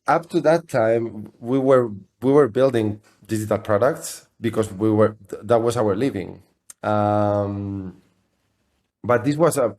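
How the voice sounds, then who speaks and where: A man with a fairly low voice, speaking English as a second language. He talks as an interview guest, recounting things conversationally, often restarting and repeating his phrases. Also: darkish tone. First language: not English. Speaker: interview guest, software company CEO.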